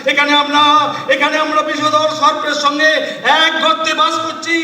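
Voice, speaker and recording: A man playing a gloomy, alarmist fellow traveller in a theatrical audio drama, making drawn-out, melodramatic pronouncements of doom. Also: very high voice, monotone, sharp tone, full character voice. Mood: gloomy, ominous. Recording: echoey hall, distant microphone.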